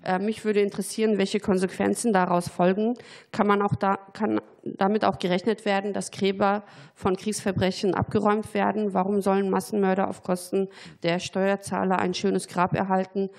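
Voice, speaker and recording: A woman with a cold speaks in an even, flat tone. She reads formal, prepared questions to a committee witness in a steady, deliberate way. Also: mid-range voice, vocal fry. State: has a cold. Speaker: member of parliament.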